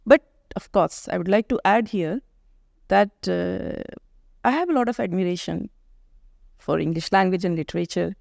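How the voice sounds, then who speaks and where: A middle-aged woman from India with an Indian accent and Hindi as her first language, talking as a poet and author guest in a thoughtful interview, pausing often to find her words. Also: on the low side, expressive, vocal fry, a little mellow, no echo, clean studio sound. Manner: thoughtful, searching for words with frequent pauses. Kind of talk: reflective conversational interview.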